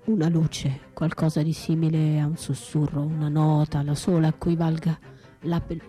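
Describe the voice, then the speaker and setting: An actress playing a battered wife left for dead, anguished yet defiant, in a theatrical, poetic radio monologue with drawn-out, breathy delivery. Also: female voice, low voice, flat delivery, warm tone, faint music behind, natural voice. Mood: wary, resolute. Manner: theatrical, drawn-out, breathy, shifting from whispers to cries. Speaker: stage actress and writer performing a solo radio play.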